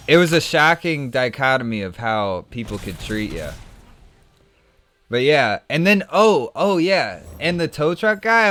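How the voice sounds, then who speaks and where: Male streamer, smooth clear voice, chatty storyteller with faint music behind. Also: mid-range voice. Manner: animated, rambling, many restarts and "like". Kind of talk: casual storytelling while gaming.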